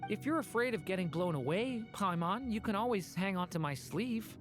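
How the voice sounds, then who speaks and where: A woman playing a gentle young dragon boy in a video game, speaking politely, thoughtfully and sincerely. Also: medium pitch, expressive, moderate pace, natural voice. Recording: faint background music.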